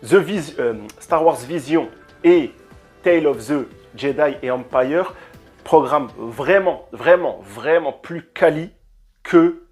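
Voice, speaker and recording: A man giving a casual, animated opinion rant as a video reviewer, hesitating and repeating words often, speaking into a handheld microphone. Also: a little high, slow, soft background music. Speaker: YouTube reviewer.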